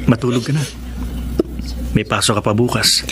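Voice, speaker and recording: A Filipino man playing a patient, protective older brother in a radio drama, speaking gently and earnestly. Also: medium pitch, steady tone, normal speed, very noisy, natural voice. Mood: calm, consoling.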